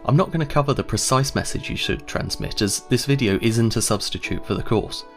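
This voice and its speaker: A man's voice: mid-range voice, fairly quick, vocal fry, faint music behind. A man giving a scripted instructional narration, explaining step by step in a conversational way.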